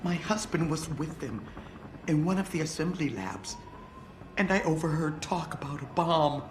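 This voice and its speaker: An actress playing a shaken, earnest company employee freed as a hostage in a video game, speaking politely. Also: female voice, low-pitched, expressive, normal speed. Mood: shaken, grateful. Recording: echoey game audio with clear background noise.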